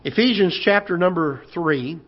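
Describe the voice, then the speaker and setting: A pastor with a hoarse, recovering voice preaching a sermon in a church auditorium, halting and repeating words, then rising as he preaches. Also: high-pitched, expressive. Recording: dull lo-fi church broadcast.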